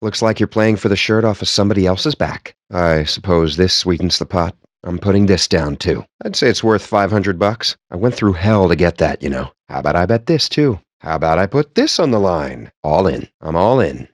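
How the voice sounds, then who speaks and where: An actor playing a cocky, foul-mouthed, nerdy poker player in a video game, with a sardonic, smug and theatrically wordy delivery. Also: male voice, deep voice, animated, normal speed, few pauses, no room sound, clean studio sound. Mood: dryly confident. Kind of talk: video game character banter.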